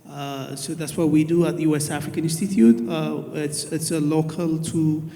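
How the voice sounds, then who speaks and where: A man from Ethiopia introducing himself on a conference panel, pausing often with fillers. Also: a little high, flat delivery, warm tone. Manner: hesitant, many "uh" fillers. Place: conference hall. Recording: long reverb of a hall.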